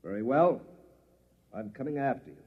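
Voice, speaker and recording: A man's voice: medium pitch, expressive, moderate pace, a little hiss or hum, natural voice. An actor playing a coolly menacing male agency boss in mid-century American radio drama, formal and commanding. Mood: cold threat. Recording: muffled lo-fi old radio recording.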